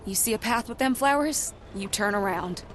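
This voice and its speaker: An actress playing a guarded, soft-hearted working girl in a video game, with a folksy Southern American drawl. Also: mid-range voice, normal speed, a little hiss or hum, lightly acted. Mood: anxious, sorrowful.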